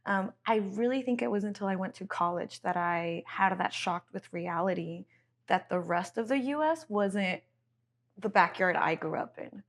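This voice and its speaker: A woman from Mexico talks on a podcast as an interview guest, in a medium-pitched, easygoing voice. She rambles a little with fillers and slips in the odd phrase in Spanish. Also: moderate pace. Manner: chatty, many fillers, switches into Spanish phrases. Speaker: chamber executive director, guest.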